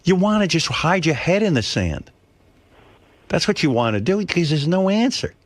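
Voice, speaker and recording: A male radio host talking with a lot of pitch movement. He rants in an emphatic, profane way. His voice is close and dry, with no room sound. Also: very animated, moderate pace, no room sound. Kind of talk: casual radio talk. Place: radio studio. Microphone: studio microphone.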